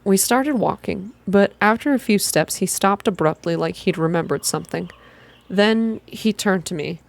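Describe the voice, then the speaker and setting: A woman narrating first-person fiction aloud in a soft ASMR reading style, with deliberate, expressive storytelling. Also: mid-range voice, vocal fry.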